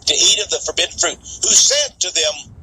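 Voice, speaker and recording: A man's voice: high-pitched, lively intonation, moderate pace, sharp tone, slight background noise, rough recording. A man preaching in an impassioned monologue, speaking emphatically to his listeners and repeating words for stress.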